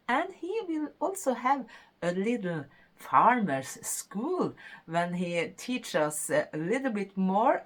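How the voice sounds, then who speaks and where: A woman from Norway with a Norwegian accent, hosting a knitting podcast in English, a second language she speaks haltingly with many pauses and fillers. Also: mid-range voice. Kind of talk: casual podcast monologue.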